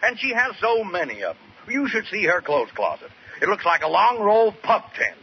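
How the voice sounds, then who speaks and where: A man's voice: high voice, huge pitch swings, moderate pace, full character voice. An actor playing a jovial, scheming middle-aged married businessman in a hearty, conspiratorial old radio sitcom style. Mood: amused, plotting. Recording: muffled, noisy vintage radio recording.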